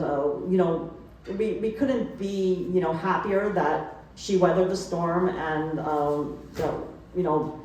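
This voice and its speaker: A woman with a thick New York accent tells stories loosely, circling back with fillers and restarts. Her voice sits a little low. It is picked up from a distance in a very reverberant room. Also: warm tone, a little hiss or hum. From New York City. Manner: rambling, full of fillers and restarts. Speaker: author and photographer. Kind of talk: casual book talk. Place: event room. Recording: roomy, distant from the mic.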